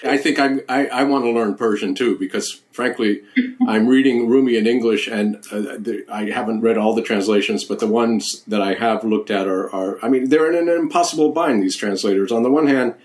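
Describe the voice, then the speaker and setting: A man hosting a conversational interview, thoughtful and halting, with frequent restarts. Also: mid-range voice, a little mellow. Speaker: host.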